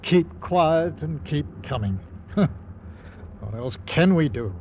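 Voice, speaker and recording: A man's voice: on the high side, lively intonation, slow pace. Man a steadying older captain, fatherly and plain-spoken, old radio play, slightly noisy muffled recording. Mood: weary. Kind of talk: old-time radio drama acting. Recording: dull vintage radio recording, faint noise.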